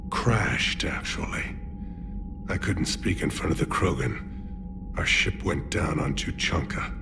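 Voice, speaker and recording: An actor playing a dignified male alien military leader who speaks in a formal, measured, guarded way. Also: rumbling low, animated, creaky, music in the background, full character voice. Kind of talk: video game voice acting.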